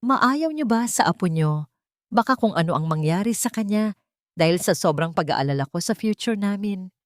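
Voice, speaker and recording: A woman's voice: medium pitch, animated, normal speed, no room sound, studio quality, natural voice. A woman narrator voicing a manipulative young pregnant woman who pleads and guilt-trips in an audio story. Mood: feigned worry.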